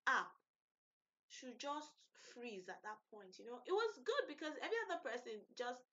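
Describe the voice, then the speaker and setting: A Nigerian woman hosting her own podcast, telling a story in a casual, animated way and laughing often. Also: a little high, moderate pace. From Nigeria (Edo State). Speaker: podcast host. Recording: dull lo-fi podcast audio.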